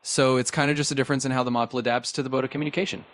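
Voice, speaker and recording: A man's voice: medium pitch, little pitch movement, racing, a bit bright. A man's voice as an AI chatbot assistant, explaining in an easygoing, reassuring way. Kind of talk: casual explanation.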